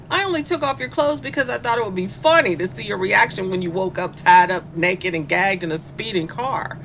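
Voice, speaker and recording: Taunting sadistic female killer, swooping and creaky. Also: mid-range voice, noisy background. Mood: mocking. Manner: mocking, flirtatious, toying with the captive. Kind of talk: dark comic horror audio drama monologue. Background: music.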